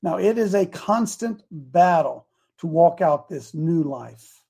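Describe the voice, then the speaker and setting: A man's voice: a little high, lively intonation, slow, gravelly, warm tone, husky. A man in his seventies preaching a casual, folksy sermon, repeating his points with emphasis. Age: 70s.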